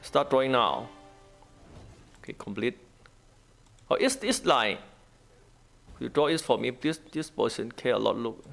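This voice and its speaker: Man with creaky voice, halting game commentary. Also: medium pitch, a bit bright, soft background music. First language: not English. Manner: halting, repetitive short phrases. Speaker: gaming guide host. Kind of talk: casual gameplay walkthrough commentary.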